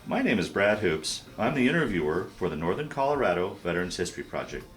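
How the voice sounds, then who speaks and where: A male interviewer opening an oral-history session and asking short, measured questions. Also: low-pitched, very animated. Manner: brief, measured prompts and soft backchannels.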